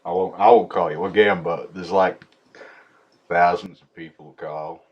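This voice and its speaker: A man's voice: sits low, steady tone, normal speed, highs cut off. A man on a prank call, mocking and fake-apologetic, laughing between lines. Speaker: prank caller.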